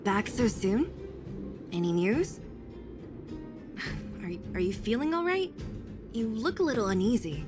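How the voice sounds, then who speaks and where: A woman playing a guarded, serious but caring young woman in a video game, speaking in a measured, hesitant way. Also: fairly high pitch, very animated, over music. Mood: concerned.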